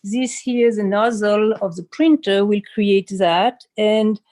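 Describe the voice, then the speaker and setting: A woman's voice: medium pitch, slow, warm tone. A woman from Switzerland gives an informal explanatory studio tour as an artist and costume designer, often searching for words and adding "you know".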